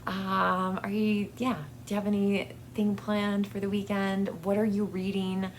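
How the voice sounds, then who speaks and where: A woman chatting casually as a book vlog host, in a halting, hesitant way that often trails off mid-thought. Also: mid-range voice, monotone, a little hiss or hum.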